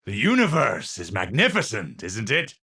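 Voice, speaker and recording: An actor playing an eccentric, unstable scientist in a video game, speaking in a theatrical, formal and halting way. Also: male voice, fairly low pitch, wildly swooping pitch, gravelly, pushed and bright, slightly rough, full character voice. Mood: musing, pleased. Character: eccentric, unstable male scientist awed by the universe. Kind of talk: video game character voice lines.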